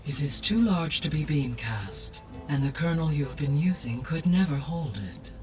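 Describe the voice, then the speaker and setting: A man playing a calm, precise artificial intelligence in a video game, speaking in a measured, formal, analytical way. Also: fairly high pitch, distant mic, lightly acted. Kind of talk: scripted video game cutscene acting. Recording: music behind the voice.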